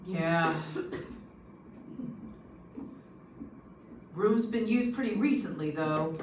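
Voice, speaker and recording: An actor playing a brisk, matter-of-fact police lieutenant in an old-time radio detective drama. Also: mid-range voice, natural voice. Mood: investigating. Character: businesslike police homicide lieutenant. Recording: echoey, distant, noisy room.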